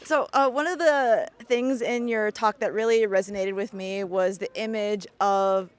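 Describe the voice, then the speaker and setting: A woman's voice: mid-range voice, steady tone, measured pace, clean tone. A woman interviewing a guest in a chatty, encouraging way, often backing him up with short replies. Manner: chatty, encouraging, laughs easily. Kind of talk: casual interview.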